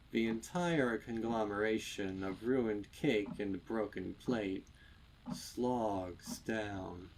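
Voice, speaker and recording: A man narrating a dark story with intense, theatrical delivery and deliberate phrasing. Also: lowish voice, slight background noise, rough recording. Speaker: fan fiction narrator. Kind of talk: dramatic scripted horror story narration.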